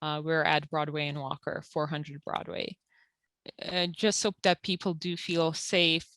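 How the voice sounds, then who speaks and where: A woman who works at a community health center, calmly explaining eligibility rules and documents step by step with frequent fillers. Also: low-pitched, measured pace, dry recording. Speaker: health center benefits counselor. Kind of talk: informational interview.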